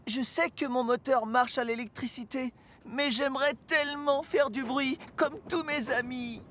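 An actor voicing an earnest, excitable, childlike male electric engine in a children's cartoon dub. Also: high voice, measured pace, slight background noise. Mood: wistful.

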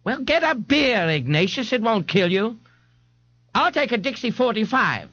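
A man's voice: sits high, very animated, moderate pace, bright, forceful tone, dry recording, highs cut off, pitched above the performer's own voice, full character voice. A man voicing a nagging, folksy older mother in an audiobook. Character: brassy working-class New Orleans mother, older woman, nagging.

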